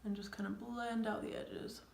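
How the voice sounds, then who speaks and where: A young woman with a sniffly, cold-stuffed voice and plenty of gravelly fry talks casually through a makeup routine. She rambles with lots of fillers and slips into soft near-whispers. The sound is roomy and echoey. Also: fairly high pitch, little pitch movement, moderate pace, slight background noise. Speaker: beauty vlogger. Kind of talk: casual makeup tutorial chat. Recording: echoey room.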